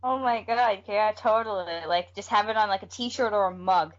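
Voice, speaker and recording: A woman's voice: mid-range voice, normal speed. A woman co-hosting a podcast in a casual, conversational way. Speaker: podcast co-host. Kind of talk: casual chat. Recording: dull lo-fi podcast audio.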